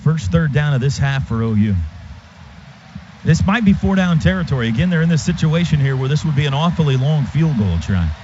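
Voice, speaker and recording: A man gives live football play-by-play on the radio in short, clipped phrases that rise on big plays. His voice sits fairly high. The broadcast sound is slightly dull, with faint noise behind him. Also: animated, a little fast, dark tone. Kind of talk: live American football commentary. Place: stadium radio booth. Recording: noisy, muffled stadium radio broadcast.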